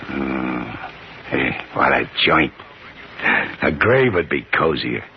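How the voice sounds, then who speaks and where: An actor playing a hard, vengeful gangster with a tough, menacing, slangy delivery in a 1950s radio drama. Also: male voice, a bass voice, animated, slow pace, full character voice. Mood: grumbling. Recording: dull, faintly noisy old radio recording.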